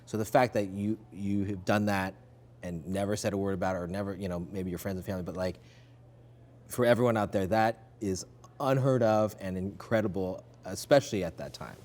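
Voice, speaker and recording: Earnest lower-voiced man. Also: brisk, darkish tone. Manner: earnest, encouraging, many affirming murmurs. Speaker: film director, interviewer. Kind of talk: candid conversation.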